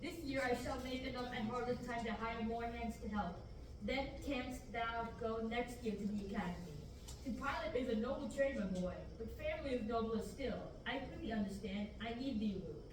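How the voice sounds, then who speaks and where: A young woman reading the part of a stern middle-aged farmer uncle in Shakespearean verse at a student table reading, steady and measured. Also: fairly high pitch, close to an everyday voice. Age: young adult. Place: large classroom, indoors. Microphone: far camera. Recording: echoey room, from far off, background hiss.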